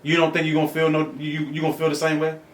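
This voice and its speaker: A man's voice: on the high side, monotone, fast talker, a bit bright, reverberant. A man co-hosting a podcast, arguing in a heated casual debate, stammering through restarts and repeating himself to hold the floor. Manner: stammering restarts, insistent repetition. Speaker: podcast co-host.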